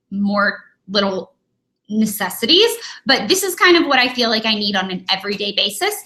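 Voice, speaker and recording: Bright, chatty young woman vlogger. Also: fairly high pitch, poor audio. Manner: chatty, rambling, many fillers. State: sniffly from a cold. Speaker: beauty vlogger. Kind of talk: casual live-stream chat. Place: dining room at home.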